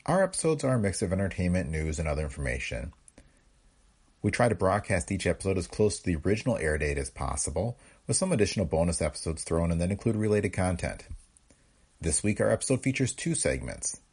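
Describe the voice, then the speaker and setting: A man with a gravelly voice reading scripted narration as a podcast host. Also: deep voice, big pitch swings, fast talker, darkish tone.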